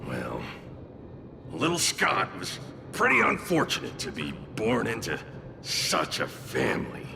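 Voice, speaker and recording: An actor playing a mischievous, mocking onlooker in a video game, with a sardonic, theatrical, gloating delivery. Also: male voice, fairly low pitch, huge pitch swings, a little slow, gravelly, background noise, full character voice. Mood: sardonic, musing. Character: mischievous, mocking intruder commenting inside someone's mind.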